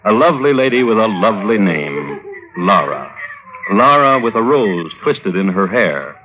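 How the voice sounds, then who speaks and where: An actor playing a dry, droll connoisseur of crime, hosting and narrating in ornate, wry, deliberate old radio style. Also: male voice, on the low side, big pitch swings, slight background noise. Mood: wry, measured. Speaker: host and narrator. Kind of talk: 1950s radio scripted narration. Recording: old narrowband radio recording with music.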